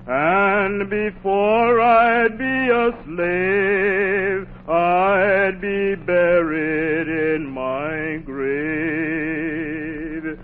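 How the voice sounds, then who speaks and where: A woman's voice: mid-range voice, fairly even intonation, very slow, few pauses, narrow, tinny audio, faint music behind. A woman singing a spiritual as the theme of a 1940s radio show. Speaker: singer of the theme song. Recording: thin 1940s radio recording.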